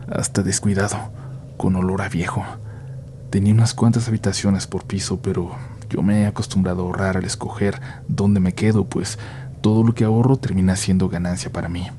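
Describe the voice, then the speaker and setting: A man narrating a first-person horror story in a hushed, measured way, sometimes dropping to a near-whisper. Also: on the low side, slight background noise.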